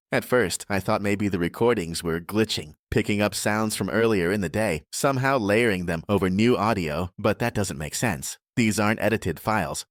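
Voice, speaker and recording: A man narrating a scripted first-person horror audiobook in a measured, deliberate way. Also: fairly low pitch, dry recording, studio quality.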